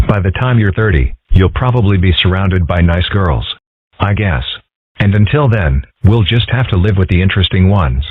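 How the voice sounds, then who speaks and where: A man voicing a calm, dry-witted ten-year-old boy in a cartoon comic dub, with a husky voice and a mild deadpan manner. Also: low-pitched, normal speed, dry recording. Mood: wry, resigned. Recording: muffled band-limited audio.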